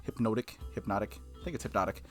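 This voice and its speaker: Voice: mid-range voice, fast talker. A let's play streamer reading a visual novel aloud, with casual, jokey asides and stumbles over words. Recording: music behind the voice. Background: background music.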